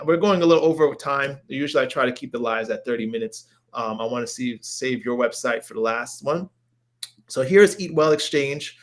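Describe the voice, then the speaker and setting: An enthusiastic man with a mid-pitched voice hosts a casual livestream as a health coach. He rambles as he browses, often repeating his phrases in an informal, chatty way. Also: fairly bright tone. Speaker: health coach hosting a live show. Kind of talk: casual livestream chat while browsing recipes.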